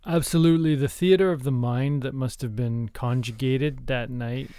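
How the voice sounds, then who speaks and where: A man with a smooth, clean voice and a middling pitch speaks in a very dry recording. He is a comedy podcast co-host, chatting casually. His delivery is deadpan and mock-serious. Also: moderate pace, no room sound.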